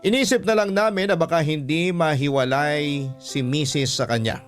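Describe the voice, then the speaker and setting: A man's voice: on the high side, moderate pace, soft background music. A man with a Filipino accent reading a scripted first-person story as a radio-style storyteller.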